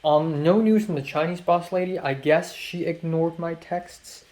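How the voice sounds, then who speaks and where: A man's voice: fairly high pitch, fairly even intonation, unhurried, a little mellow. A young man rambling through a late-night video diary about his college classes, hesitant and full of fillers and restarts. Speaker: college student vlogger.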